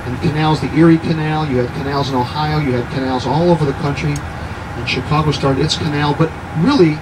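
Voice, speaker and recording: A man's voice: a little high, fairly even intonation, a little fast. A man in his sixties giving a guided city tour to a group, chatty and jokey, often quizzing his listeners. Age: 60s. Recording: noisy outdoor recording with traffic.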